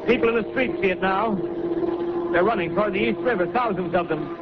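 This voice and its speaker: An actor playing a doomed, shaken radio announcer reporting an invasion in halting, urgent 1930s radio drama style. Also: male voice, high voice, lightly acted. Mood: horrified, urgent. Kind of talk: 1930s radio acting, live news report. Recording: noisy, muffled 1938 radio broadcast recording.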